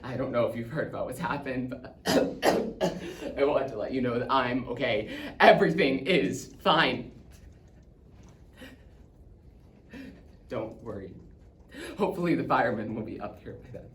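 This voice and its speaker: A man playing a frightened father who tries to reassure, urgent and halting, in a dramatic monologue. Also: lowish voice, lively intonation, natural voice. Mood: strained, smoky. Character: frightened father trapped in disaster, trying to reassure. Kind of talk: dramatic monologue, acted phone messages. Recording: distant echoey room, faint noise.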